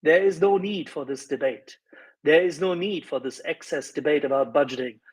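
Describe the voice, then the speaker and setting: A man's voice: a little high, normal speed, breathy edge. A man on a live audio panel arguing politics emphatically, insistent and repeating words for emphasis.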